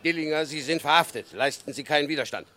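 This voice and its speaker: An actor playing a calm, stern FBI chief in an old 1960s radio crime play, measured delivery. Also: male voice, fairly high pitch. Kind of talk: 1960s radio drama acting.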